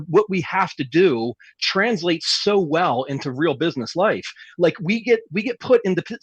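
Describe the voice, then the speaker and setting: Fast, emphatic high-voiced man. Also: slightly rough, no room sound, muffled recording. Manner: emphatic, ranting. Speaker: military veteran panelist. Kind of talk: panel discussion.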